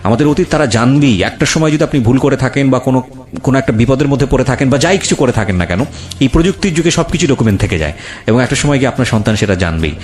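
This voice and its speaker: A man's voice: medium pitch, animated, racing, darkish tone. A man with a Bangladeshi accent hosting a talk show, giving earnest, emphatic advice to listeners and mixing in English phrases. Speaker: radio show host. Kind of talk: conversational commentary and advice to listeners. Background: background music.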